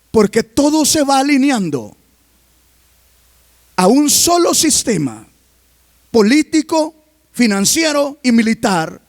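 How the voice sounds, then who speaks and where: A man preaching a sermon as pastor, reading scripture aloud and constantly asking the congregation questions, rising to emphatic shouts. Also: very high voice, no echo, studio quality. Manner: reads scripture aloud between remarks.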